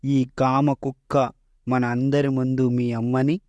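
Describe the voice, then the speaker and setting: A man's voice: mid-range voice, flat delivery, a little slow, darkish tone, dry recording, close to an everyday voice. Parody cartoon villain: male actor as old royal schemer, suspicious, needling, dry studio sound. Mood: bitter, suspicious. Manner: sly, accusing, goading. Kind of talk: Telugu parody cartoon dubbing.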